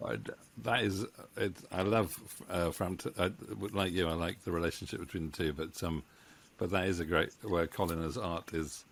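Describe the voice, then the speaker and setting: A middle-aged man hosting a casual interview in a halting, hesitant way, close on a studio mic. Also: low-pitched, fairly even intonation, creaky, a bit bright, slight background noise. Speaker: interview host, voice actor. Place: small home room with bookshelves. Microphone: studio mic on boom arm, close.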